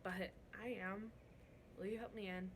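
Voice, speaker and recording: A woman voicing a young servant girl in a storybook read-aloud, soft, hesitant, earnest and worried. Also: mid-range voice, steady tone, a little slow, slight background noise, natural voice.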